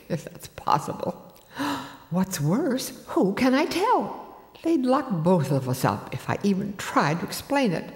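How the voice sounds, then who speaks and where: A worried, sensible older woman in a comic audio play. Her highish voice swings widely in anxious expression and rings with long reverb. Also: a little mellow, exaggerated voice. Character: worried, sensible older sister, homely and doubtful. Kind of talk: audio play acting, dialogue and inner monologue.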